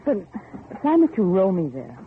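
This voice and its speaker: An actress playing an anxious, insistent young heiress in vintage radio horror, questioning with pleading, melodramatic urgency. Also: female voice, medium pitch, wildly swooping pitch, normal speed. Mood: puzzled, frustrated. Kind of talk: 1940s radio horror acting. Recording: noisy muffled old radio recording.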